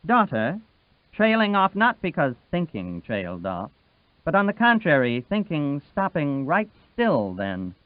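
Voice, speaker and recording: A man's voice: a little high, no room sound. Thin phone-like recording of male audiobook narrator. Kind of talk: literary audiobook narration, reading a novel aloud. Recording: dry, band-limited, phone-like sound.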